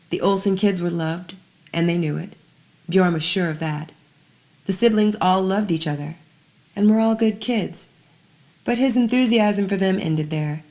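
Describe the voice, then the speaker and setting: A woman narrating scripted fiction in a measured, dramatic storytelling manner, letting some phrases fall hushed. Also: fairly low pitch.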